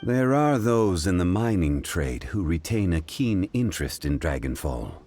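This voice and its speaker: A man voicing a calm, courteous and learned gentleman in an anime-style video game, speaking in a measured, formal way. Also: low voice, background noise. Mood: thoughtful, explanatory. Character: composed, scholarly, ancient-souled gentleman consultant, calm and courteous.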